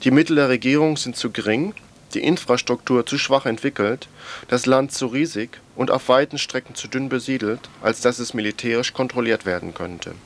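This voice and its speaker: A man's voice: medium pitch, moderate pace. A man presenting radio news, reading scripted political reports and programme notes aloud.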